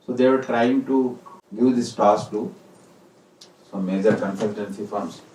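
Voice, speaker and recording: A man with an Indian accent giving a formal ceremonial speech as a senior executive, speaking deliberately in short, halting phrases. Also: on the low side, fairly even intonation, darkish tone. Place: corporate hall. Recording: echoey hall, distant mic, faint noise.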